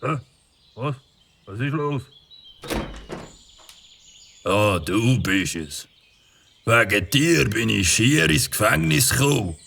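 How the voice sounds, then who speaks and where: An actor playing a gruff, grumpy but soft-hearted biker with a gravelly, halting delivery in a children's audio drama. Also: male voice, medium pitch, lively intonation, slight background noise, exaggerated voice. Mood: startled, grumpy.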